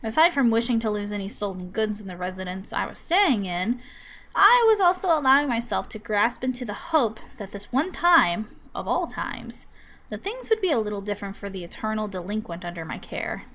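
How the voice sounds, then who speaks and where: A woman reading a visual novel aloud as expressive first-person story narration. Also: mid-range voice, a little fast. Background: music.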